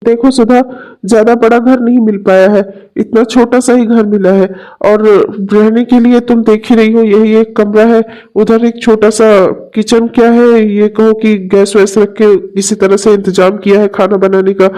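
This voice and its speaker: A man's voice: high voice, little pitch movement, unhurried, mellow, low-quality recording. A man voicing a caring young male friend who talks in a concerned, coaxing and chatty way in an amateur audio drama. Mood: showing round.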